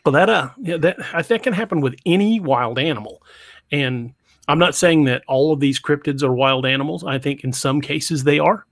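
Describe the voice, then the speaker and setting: A man's voice: on the high side, normal speed, vocal fry, no echo, clean studio sound. Stammering man hosting a podcast. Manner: stammering restarts, chatty and joking. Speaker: podcast host. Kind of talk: casual livestream chat. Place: home room.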